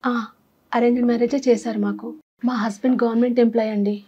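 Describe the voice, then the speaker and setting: A woman's voice: fairly high pitch, level tone, fast, mellow, breathy edge, muffled recording. A woman, telling her personal story as a talk show guest. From Andhra Pradesh, India. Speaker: guest seeking advice.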